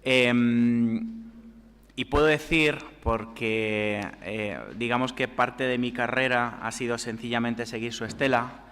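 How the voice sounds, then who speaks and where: A man's voice: medium pitch, fairly even intonation, slow, a bit bright, smooth. A man moderating an academic seminar, giving a formal introduction with frequent fillers and restarts. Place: lecture hall. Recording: long hall reverb.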